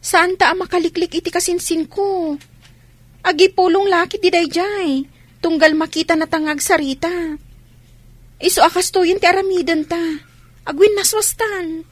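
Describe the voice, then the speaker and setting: An earnest young schoolgirl sweetheart in an Ilocano radio drama. Her voice is high and youthful, with an Ilocano accent and a dutiful, sincere manner. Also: fairly even intonation, moderate pace, pauses often, no echo. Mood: worried. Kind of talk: Philippine radio drama acting.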